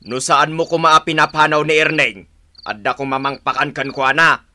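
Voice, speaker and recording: A man's voice: on the high side, fairly even intonation, normal speed, sharp tone. A man acting a grumpy, lazy husband in an Ilocano radio drama, grumbling with an Ilocano accent. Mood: irritated. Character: grumpy, lazy husband bickering with his wife. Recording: AM radio drama with background noise.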